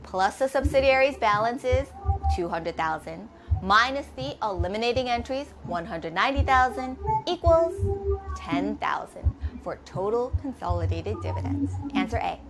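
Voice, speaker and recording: A woman's voice: a little high, lively intonation, moderate pace, music in the background. A woman instructor narrating a scripted lesson step by step, methodically, posing questions and answering them herself. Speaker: accounting instructor.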